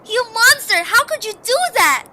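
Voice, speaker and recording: A woman's voice: extremely high pitch, animated, normal speed, heavy background noise. A woman playing a small, loyal, earnest flying creature heroine in an amateur audio drama, speaking emotionally. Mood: horrified, angry. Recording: distant, very echoey, under loud music.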